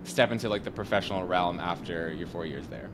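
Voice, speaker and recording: A man's voice: low voice, noisy background. A man hosting an interview podcast, chatting casually and often restarting his sentences. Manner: friendly, halting with many restarts. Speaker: podcast host.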